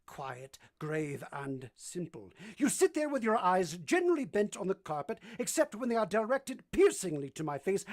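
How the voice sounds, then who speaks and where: A woman playing a brusque, moody gentleman master in a vintage-style radio drama, commanding and teasing as they question. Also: mid-range voice. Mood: imperious, probing. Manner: imperious, teasing, theatrical questioning.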